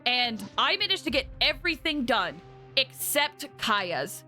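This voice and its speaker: A woman chatting casually to viewers as she hosts a game livestream, in an animated, chatty manner, sounding a little tired. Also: fairly high pitch, a little slow. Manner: animated, chatty, with excited exclamations. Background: background music.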